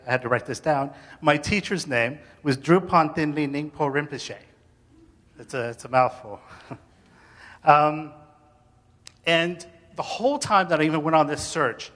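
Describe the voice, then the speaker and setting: Male testimony speaker, bright forward voice, informal and halting delivery, reverberant hall. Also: a little high. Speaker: speaker sharing faith story. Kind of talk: personal testimony told to an audience.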